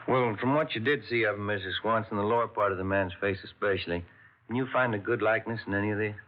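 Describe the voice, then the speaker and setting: An actor playing a friendly, easygoing police partner in a 1950s radio crime drama. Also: male voice, fairly low pitch, fairly even intonation, quick pace, natural voice. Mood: conversational. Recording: dull restored 1950s radio broadcast.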